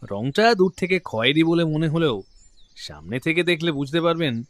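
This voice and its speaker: An actor playing a genial, talkative middle-aged gentleman client in a detective audio drama. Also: a little high, sing-song, moderate pace, no echo. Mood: impressed, genial. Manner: genial, talkative, open-hearted. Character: affable, chatty gentleman client, proud father.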